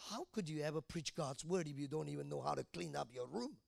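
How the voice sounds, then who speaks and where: A man's voice: on the high side, lively intonation, quick pace, vocal fry, darkish tone, no room sound, clean studio sound. A man in his fifties from Malaysia preaching a sermon, often asking the congregation questions. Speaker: pastor.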